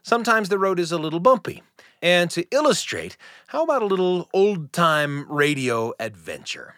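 A middle-aged man hosting a radio show, telling a personal family story in a gentle, reflective, earnest way. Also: high-pitched, very animated, moderate pace, no room sound, studio quality.

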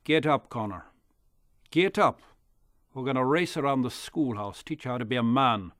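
A man voicing a schoolboy bully who mocks and shouts at a weaker boy in a storytelling performance. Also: mid-range voice, normal speed, no room sound, clean studio sound, close to an everyday voice. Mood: taunting. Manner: mocking, sing-song taunts. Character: loud taunting schoolboy bully.

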